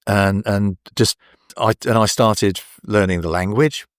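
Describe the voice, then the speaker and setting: An older man with an English accent, talking as an interview guest in a relaxed, halting way full of restarts. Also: fairly low pitch, no echo, studio quality. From England. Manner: hesitant, many restarts and fillers. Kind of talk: casual interview.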